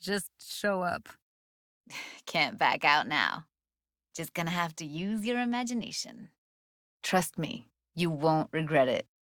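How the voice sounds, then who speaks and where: A woman's voice: fairly low pitch, a bit bright, no room sound, studio quality, heavily acted. A woman voicing a young, streetwise techie in a video game, blunt and guarded with tenderness underneath, speaking in casual, clipped slang. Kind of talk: naturalistic video game voice acting.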